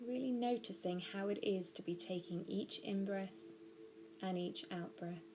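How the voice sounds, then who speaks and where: A woman narrating a guided body scan meditation, giving gentle, measured instructions slowly with pauses. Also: medium pitch. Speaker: mindfulness practitioner guiding a meditation.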